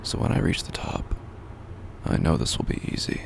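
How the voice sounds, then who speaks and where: An actor playing a broken, haunted man who narrates horror audio drama slowly and grimly, his gravelly voice often sinking into a whisper. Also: noisy background, natural voice. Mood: bleak, resigned. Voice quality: very deep gravelly fry, often breaking into whisper. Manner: slow, grim, deliberate delivery.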